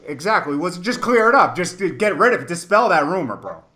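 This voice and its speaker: A man's voice: high-pitched, very animated, a little fast, bright, forceful tone. A man hosting a casual, slangy hip-hop interview over a video call, talkative and emphatic, often repeating phrases. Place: indoor room, on a video call.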